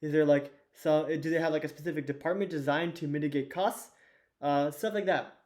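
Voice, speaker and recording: Hoarse teenage boy teaching at a whiteboard. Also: on the high side, fairly even intonation, fairly quick, darkish tone. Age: teens. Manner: loose and chatty with many fillers and restarts. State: hoarse, losing his voice. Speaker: student teacher of an investing course. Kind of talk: whiteboard lesson.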